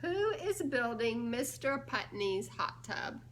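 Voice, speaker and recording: Woman, children's story time reader: high, very animated, unhurried, playful questioning, faint background noise. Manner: playful, expressive, drawn-out delivery of key words with teasing pauses. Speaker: children's storybook reader and church children's ministries director. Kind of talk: read-aloud story time for young children, asking guessing questions.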